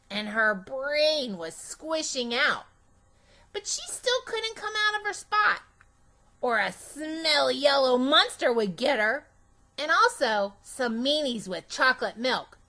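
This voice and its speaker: A woman voicing a chatty, imaginative, bossy little girl in an animated children's storybook read-aloud, with childish, emphatic phrasing. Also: high-pitched, full character voice. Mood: chatty, earnest.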